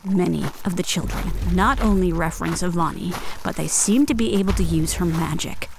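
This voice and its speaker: A woman's voice: on the low side, fairly quick, creaky, noisy background. A woman playing a scheming, loyal clan leader in an audio drama, with an assured, formal manner.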